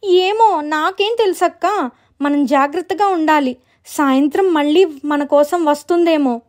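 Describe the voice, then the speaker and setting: A woman's voice: high-pitched, unhurried, a little mellow, pitched above the performer's own voice. A woman voicing a frightened, urgent young younger sister in a story, anxious and full of exclamations. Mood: worried.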